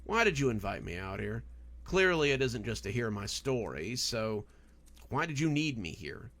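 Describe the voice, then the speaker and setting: A blunt, uneasy man in a horror tale, voiced by a male narrator. He questions people in a lively, swinging pitch with a slightly creaky texture. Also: moderate pace. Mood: questioning. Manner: questioning, blundering.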